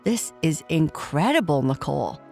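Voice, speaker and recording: A woman voicing a gentle, reassuring but sceptical mother in a children's bedtime story. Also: deep voice, big pitch swings, moderate pace, gravelly, faint music behind, exaggerated voice. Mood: awed.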